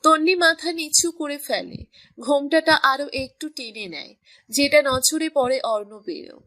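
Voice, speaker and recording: A woman's voice: sits high, lively intonation, measured pace, bright, forceful tone. A woman reading scripted narration for a romantic story as its storyteller.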